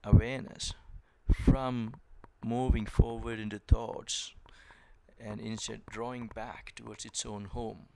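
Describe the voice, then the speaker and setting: A man leads a guided meditation with very unhurried, gentle delivery. His voice sits on the low side and has a gravelly creak to it. He gives each instruction in short, measured phrases and leaves long pauses between them. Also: level tone, a little hiss or hum, poor audio. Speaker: meditation and yoga teacher.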